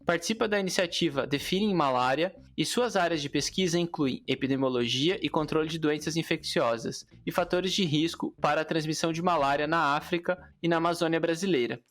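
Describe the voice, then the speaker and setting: A man's voice: on the high side, brisk, hardly any pauses, fairly bright tone. A man with a Brazilian accent presenting and interviewing as co-host of a podcast.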